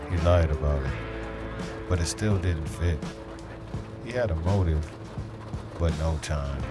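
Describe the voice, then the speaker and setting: A man playing a brooding, hardboiled police detective, narrating a noir audio drama in a measured, poetic, deadpan way. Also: rumbling low. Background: music.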